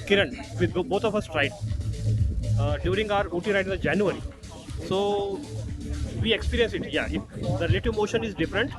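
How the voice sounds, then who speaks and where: A man's voice: sits high, rough recording. A man with an Indian accent explaining a product casually and somewhat hesitantly, as a rider who tests gear. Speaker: motorcycle club rider and product tester. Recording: loud music behind the voice. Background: loud music.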